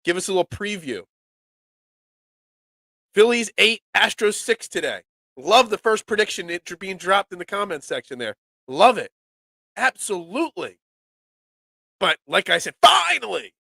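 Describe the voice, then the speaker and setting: A man hosting a live sports talk show, nasal and congested from a cold, excitable and rambling. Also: high voice, normal speed, vocal fry, pauses often, no room sound. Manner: excitable, rambling, restarts often.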